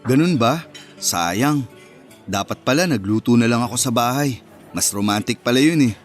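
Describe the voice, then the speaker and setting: An actor playing an earnest, romantic young boyfriend in a Filipino radio romance drama, talking in a sincere, conversational way. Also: male voice, medium pitch, animated, moderate pace, faint music behind, lightly acted. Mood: casual, sincere.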